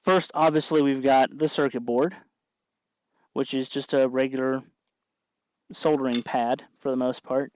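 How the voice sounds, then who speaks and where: A man hosting an informal electronics tutorial, explaining things off the cuff in a halting way as he thinks aloud. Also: mid-range voice, steady tone, darkish tone, dry recording, rough recording. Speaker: host of an electronics tutorial show. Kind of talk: unscripted hands-on tutorial narration.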